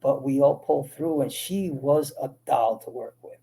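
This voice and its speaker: A man from the Dominican Republic, an actor guest, telling animated stories in a casual interview with many restarts. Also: mid-range voice, normal speed, creaky, poor audio.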